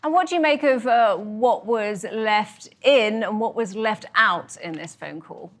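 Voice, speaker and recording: A woman anchors a live news interview, introducing her guest and putting brisk, formal questions to him. Her voice sits at an average pitch for a woman. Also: fairly bright tone.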